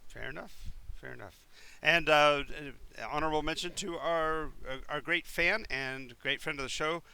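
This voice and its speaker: A man's voice: a little high, normal speed, creaky, bright, forceful tone, smooth. A man running a tabletop role-playing game, describing rooms at length with many fillers and restarts. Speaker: game master.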